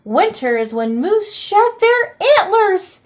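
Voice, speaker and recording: A woman doing an excitable little troll boy. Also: slow, exaggerated voice. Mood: excited. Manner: high, loud exclamations.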